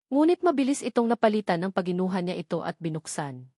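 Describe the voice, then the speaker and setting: A woman's voice: on the low side, big pitch swings, fast talker, dark tone, no room sound, clean studio sound. A woman reading a scripted romantic drama story as its narrator.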